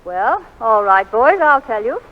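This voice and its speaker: A woman's voice: a little high, sing-song, unhurried. A woman playing a poised, wry doctor and new wife in a 1940s radio drama. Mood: poised, composed. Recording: muffled 1940s radio broadcast.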